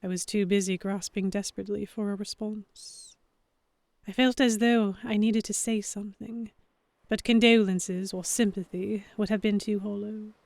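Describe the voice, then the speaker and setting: A mid-range female voice from an actress narrates as the earnest heroine of a historical audio drama. She is measured, introspective and self-conscious. The sound is very dry, with no room echo. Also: mid-range voice, little pitch movement, vocal fry, darkish tone, close to an everyday voice. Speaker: narrator and character. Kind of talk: scripted first-person audio drama narration.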